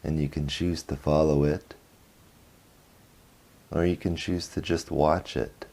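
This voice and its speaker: Very deep, slow-speaking meditation guide man. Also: steady tone. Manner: slow, soft, with long pauses between short phrases. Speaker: meditation teacher.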